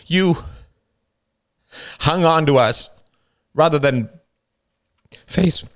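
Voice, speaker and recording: Guy reading a stoic teen boy, voice cracking, pushing words out, a bit higher. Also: very slow. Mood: pained. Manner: pushing out words in a tense, raised voice.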